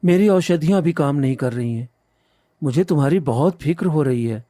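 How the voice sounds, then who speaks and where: A man playing a devoted, trusting young village husband in a cartoon story, earnest and gentle. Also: fairly high pitch, animated, normal speed, dry recording, studio quality, lightly acted. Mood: worried. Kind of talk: cartoon moral story acting.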